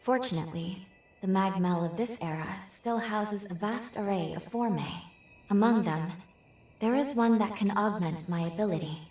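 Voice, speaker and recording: A woman voicing a gentle, earnest young time traveler in a video game, with formal, polite, measured phrasing. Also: mid-range voice, reverberant, far from the mic, close to an everyday voice. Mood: calm, earnest. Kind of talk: scripted video game cutscene acting.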